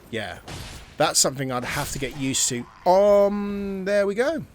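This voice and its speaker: A man's voice: sits high, animated, measured pace, a little hiss or hum. A man giving casual, chatty commentary while he plays a video game, thinking aloud and reading tutorial text as he goes. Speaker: gaming channel host.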